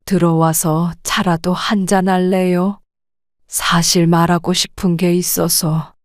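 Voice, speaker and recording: A woman acting an older widowed grandmother who speaks softly, weary and restrained. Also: on the low side, level tone, slow, no echo, studio quality. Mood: troubled. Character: widowed grandmother, gentle and troubled. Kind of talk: audio drama acting.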